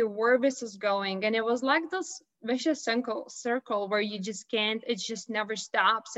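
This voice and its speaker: A woman with a Lithuanian accent talks about her work in an upbeat, earnest way, often restarting her sentences. Her voice sits a little high. The video-call audio is dull and lo-fi. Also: fairly bright tone. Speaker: guest, holistic health consultant. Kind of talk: remote interview chat.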